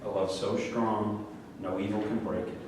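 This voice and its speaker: A man's voice: lowish voice, flat delivery, moderate pace, darkish tone, lightly acted. An actor playing a delusional, manipulative murderer obsessed with a woman, declaiming in a grandiose, preachy way in a stage play reading. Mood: seductive, intense. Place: large room at a staged reading. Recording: very echoey room, far mic, faint noise.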